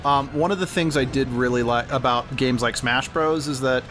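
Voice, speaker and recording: A man's voice: mid-range voice, normal speed. A man giving rambling, opinionated commentary in a casual gaming chat. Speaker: let's play commentator.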